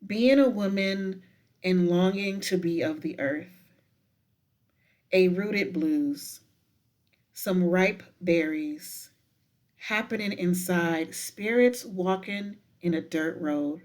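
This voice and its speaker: Slow-paced woman guiding meditation. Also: lowish voice, darkish tone. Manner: unhurried, deliberate, with frequent pauses. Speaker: professor guiding a breathing meditation. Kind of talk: guided meditation with spoken poetry.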